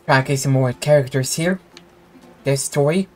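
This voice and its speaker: A woman game streamer giving casual, low-key, offhand commentary as she plays. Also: moderate pace. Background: game music.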